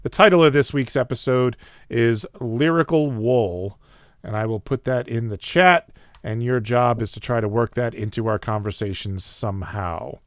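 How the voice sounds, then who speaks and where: A man's voice: medium pitch, moderate pace, dry recording. A man hosting a podcast in casual chat, with a sore throat and a fading voice, trading dry upbeat banter. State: sore throat, losing his voice. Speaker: podcast host.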